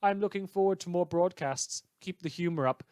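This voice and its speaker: A man's voice: high-pitched, fairly quick, a little mellow, no echo, natural voice. A man voicing a sarcastic anonymous citizen in a letter, with dry mock congratulation.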